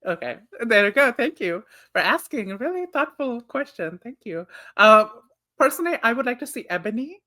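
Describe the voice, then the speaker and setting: A man's voice: very high-pitched, sing-song, normal speed, pushed and bright. A man who grew up in Nepal, a guest on a podcast, chatting casually and teasing with frequent laughter. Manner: giggly, teasing, bursts of laughter.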